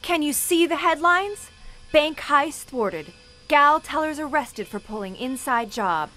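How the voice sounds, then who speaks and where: High female voice, nervous brassy young fugitive, snappy slang, radio drama, faint music behind. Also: animated, a little slow, sharp tone, exaggerated voice. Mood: anxious. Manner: snappy, slangy wisecracking delivery. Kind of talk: period radio drama acting.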